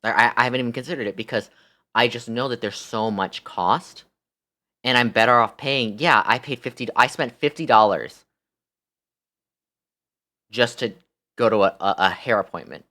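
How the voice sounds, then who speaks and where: Bright-voiced young American man, exasperated. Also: mid-range voice, moderate pace, long pauses. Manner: animated, exasperated ranting with swearing. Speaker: live streamer. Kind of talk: casual livestream chat.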